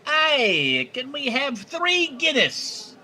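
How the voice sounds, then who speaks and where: A man voicing an Irish triplet in a bar joke, with a deliberately bad put-on Irish accent. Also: extremely high pitch, wildly swooping pitch, bright, forceful tone, pitched above the performer's own voice, heavily acted. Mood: hammy.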